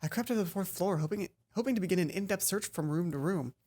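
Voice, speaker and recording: A man reading the first-person narration of a young female student in a game, hushed and suspenseful. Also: sits high, fast.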